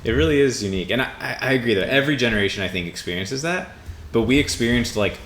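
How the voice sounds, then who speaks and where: A man in his mid-twenties in a casual, reflective podcast conversation, rambling and informal as he thinks out loud. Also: medium pitch, expressive, fast. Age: mid 20s. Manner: rambling, informal with mild swearing. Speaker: podcast co-host. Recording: roomy echo with faint background noise.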